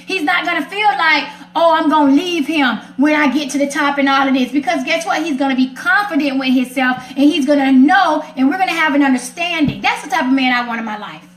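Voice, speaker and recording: Sassy american woman radio host, really high voice, blunt n emphatic, echoey room. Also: a little fast, hardly any pauses, fairly bright tone. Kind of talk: casual opinionated chat. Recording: roomy echo.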